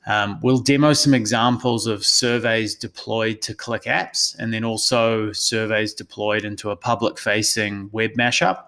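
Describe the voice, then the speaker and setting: A man with a New Zealand accent giving a prepared webinar presentation as a consultant, in a measured, explanatory, professional manner. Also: fairly low pitch, fairly even intonation, bright, forceful tone. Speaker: analytics consultant presenting a session.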